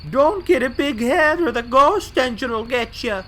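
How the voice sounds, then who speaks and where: A man's voice: very high voice, animated, moderate pace, fairly bright tone. A man playing a boastful, grouchy, easily offended switcher locomotive in a fan audio drama. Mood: indignant, boastful. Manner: brash, indignant, boastful.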